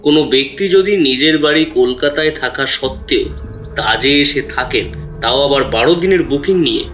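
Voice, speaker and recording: A man's voice: medium pitch, normal speed, a bit bright, faint music behind, lightly acted. A man playing a deferential hotel employee who answers questions politely and explains carefully in an audio drama. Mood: deferential, explaining. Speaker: voice actor in an audio detective drama. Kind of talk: acted dialogue with background music.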